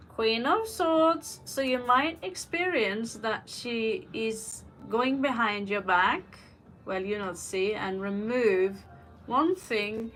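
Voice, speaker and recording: A woman's voice: mid-range voice, a bit bright, rough recording. A woman doing a live tarot reading, chatting in a rambling way with personal asides between the cards. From Norway. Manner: rambling, personal asides, reads out viewer questions. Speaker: tarot reader. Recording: faint music behind the voice.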